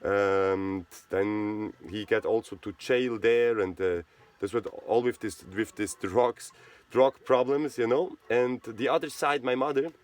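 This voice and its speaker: Low-voiced man talking haltingly. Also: fairly even intonation. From Switzerland. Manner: halting, searching for words, many fillers and restarts. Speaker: host of a charity video channel. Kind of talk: casual spoken monologue.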